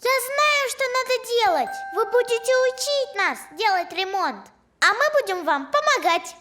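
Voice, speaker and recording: A performer voicing an excitable young kitten in a children's cartoon, eager and exclamatory, with music behind. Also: extremely high pitch, moderate pace. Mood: eager, happy.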